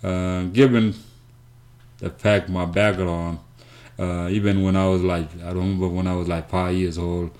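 Deep-voiced, halting South Sudanese man. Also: flat delivery, darkish tone. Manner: halting, with frequent hesitations and repeated words. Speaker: interview guest, foundation founder. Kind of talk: conversational storytelling.

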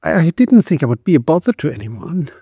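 A man voicing a frightened, humble young homeless mother in a storybook, with a shrill, lisping voice and a halting, pleading manner. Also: big pitch swings, creaky, mellow, slightly rough, dry recording, clean studio sound, exaggerated voice. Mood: timid.

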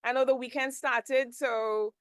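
A woman's voice: high voice, steady tone, a little slow, a crowd in the background. A woman hosting a casual talk show interview. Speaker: radio show host.

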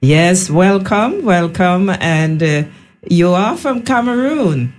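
Slow-paced Caribbean woman hosting radio. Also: fairly low pitch, lively intonation. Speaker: radio host. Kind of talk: live radio panel moderation. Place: radio studio. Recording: faint background noise.

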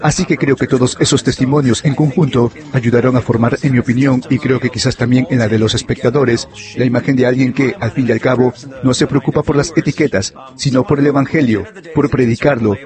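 A man giving a voice-over interpretation of an interview, relaying it in a steady, fluent way. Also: mid-range voice, a little fast, hardly any pauses, a crowd in the background.

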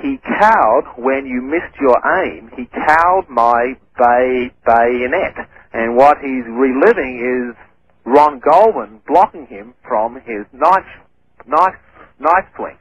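A man's voice: medium pitch, lively intonation, slow. A man who stutters, explaining things as a guest on a radio show, with many restarts and fillers. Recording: muffled radio broadcast with faint hiss.

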